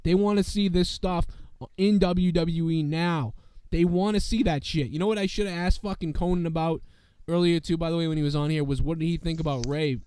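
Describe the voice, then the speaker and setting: Man, sick and losing his voice, smooth resonant tone, fairly high, dry close mic, rambling casual cursing. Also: steady tone, a little fast, hardly any pauses, warm tone, no room sound. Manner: rambling, peppered with "you know what I mean". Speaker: wrestling podcast host. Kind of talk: casual profane show commentary.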